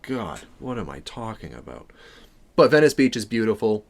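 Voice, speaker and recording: Animated American man, swooping pitch. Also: moderate pace, creaky. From Maine, United States. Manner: animated, self-interrupting, jokey. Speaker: reaction video host. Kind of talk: casual reaction commentary with rambling personal asides.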